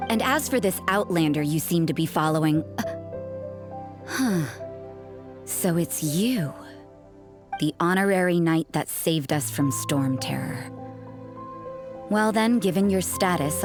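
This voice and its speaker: An actress playing a cool, cynical adult nun in a video game, talking in a dry, aloof and sarcastic way. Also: female voice, deep voice. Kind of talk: video game cutscene dialogue.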